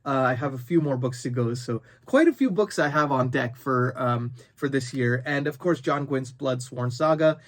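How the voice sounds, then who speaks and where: Male book vlogger, mid-pitched voice, conversational and unscripted, thinks out loud while addressing viewers. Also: moderate pace, few pauses. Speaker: book review video host. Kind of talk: casual unscripted book chat to camera.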